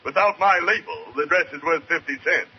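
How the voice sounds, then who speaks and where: An actor playing a snooty, self-important fashion designer with a pompous, drawn-out delivery in an old radio sitcom. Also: male voice, a little high, lightly acted. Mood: condescending. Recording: muffled, noisy vintage radio recording.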